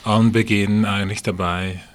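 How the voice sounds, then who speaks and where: Low, flat-voiced man speaking haltingly. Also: a little hiss or hum. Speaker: studio guest, drug project manager. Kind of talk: introducing himself in a radio interview. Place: radio studio.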